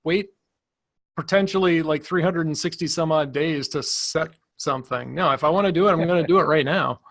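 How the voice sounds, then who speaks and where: A man co-hosting a podcast in casual chat, relaxed and joking, with lots of fillers. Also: fairly high pitch, fairly quick, gravelly. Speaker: podcast co-host.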